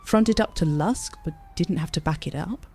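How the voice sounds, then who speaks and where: A woman's voice: lowish voice, moderate pace, warm tone, husky. A woman acting as a cynical, scornful character in an audio drama, blunt and dismissive. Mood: shrewd, blunt.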